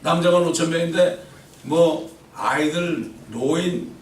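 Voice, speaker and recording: A middle-aged pastor delivers an emphatic sermon, his voice sitting a little high and rising to loud peaks before dropping to quiet asides. He keeps turning questions back to the congregation. It is picked up from a distance in a roomy church, with a faint hum of ambience behind him. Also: slow. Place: church sanctuary. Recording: roomy church echo from far off.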